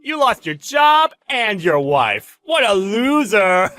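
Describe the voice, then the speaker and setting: A man's voice: sits high, very animated, moderate pace, sharp tone, dry recording, exaggerated voice. A man playing a smug, bullying boss in a dramatized story, with a taunting, slangy, exclamatory delivery. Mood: gloating, mocking. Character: smug bullying male boss, gloating and contemptuous. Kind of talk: dramatized story narration with character voices.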